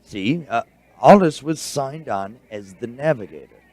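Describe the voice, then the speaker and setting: A man playing a bookish, excitable doctor in a radio drama, halting and stammering as he explains things. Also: medium pitch. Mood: excited, amazed. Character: bookish, excitable male doctor friend, an eager researcher. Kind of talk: audio drama dialogue.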